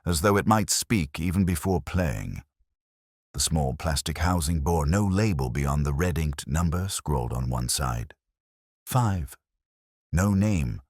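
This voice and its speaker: A man reading a scripted mystery story in a measured, dramatic way with short pauses and a gravelly voice. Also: rumbling low, dry recording, studio quality. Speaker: narrator. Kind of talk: scripted mystery audiobook narration.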